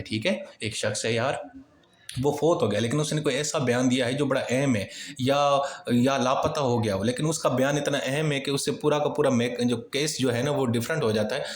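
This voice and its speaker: A man with a Pakistani accent giving an informal exam-prep lecture, explaining point by point in a conversational way with everyday examples. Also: medium pitch. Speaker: law lecturer. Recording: echoey, reverberant room sound.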